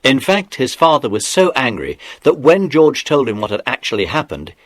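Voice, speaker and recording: A man narrating a dramatised children's audiobook in an expressive, animated storytelling manner. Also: medium pitch, normal speed, no room sound. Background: music.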